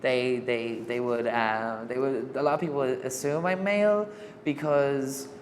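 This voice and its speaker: A young woman with an Irish accent and a deep voice, speaking hesitantly with fillers and restarts in a documentary interview. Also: a little slow, slight background noise. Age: young adult. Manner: hesitant, repeats words with fillers. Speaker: student interviewee. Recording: reverberant room with soft music underneath.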